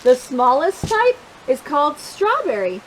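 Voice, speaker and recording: Animated, high-voiced woman reading to children. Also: a little slow, a little hiss or hum, low-quality recording. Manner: expressive, emphatic, drawing out key words. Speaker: children's storytime reader. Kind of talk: animated picture-book read-aloud with asides to young listeners.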